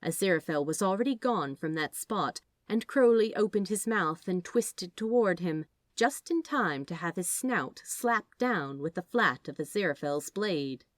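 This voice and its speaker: Woman, story narrator, slightly low voice, scripted reading, dry sound. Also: dry recording. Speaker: fan fiction narrator.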